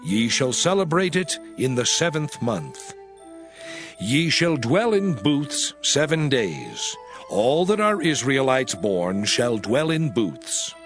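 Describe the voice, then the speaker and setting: Solemn bible lawgiver, declamatory, measured, pitch moves a lot, music under it. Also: male voice, big pitch swings, fairly bright tone. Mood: solemn, authoritative. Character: solemn male lawgiver pronouncing divine statutes. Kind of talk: dramatized scripture reading.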